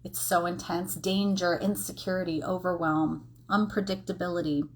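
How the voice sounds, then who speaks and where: A woman's voice: on the low side, fairly even intonation, moderate pace, slight background noise. A woman giving a casual, encouraging oracle card reading, explaining things conversationally with informal asides. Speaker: tarot and oracle card reader.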